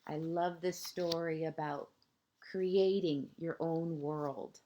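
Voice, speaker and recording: A woman with a soft-edged, mellow voice tells a story to small children at an unhurried pace. She is gentle and expressive, leaves space between short phrases, and often lets the ends drop toward a hush. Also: deep voice, a little hiss or hum. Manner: deliberate pauses, short phrases, asides to young listeners. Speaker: kindergarten teacher reading a picture book. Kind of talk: read-aloud storytelling for young children.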